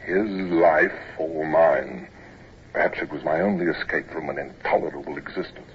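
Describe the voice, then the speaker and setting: An actor playing an older naval captain who narrates memories of his youth in a measured, dramatic radio style. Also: extremely low pitch. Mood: reflective. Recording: muffled, noisy old radio recording.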